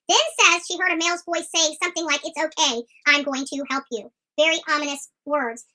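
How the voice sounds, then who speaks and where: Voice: extremely high pitch, bright, forceful tone. A true crime commentator who speaks in an opinionated, emphatic and combative way, often repeating phrases for force. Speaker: online commentator.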